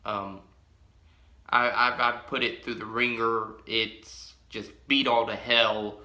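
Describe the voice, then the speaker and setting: A young man talks slowly and loosely to a fixed camera in a small home room, trailing off into ums and restarts. His voice is bright and forward. The room gives it a noticeable echo. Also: lowish voice, flat delivery. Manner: rambling, drawn-out, many ums. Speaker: pipe-collecting vlogger. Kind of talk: casual show-and-tell.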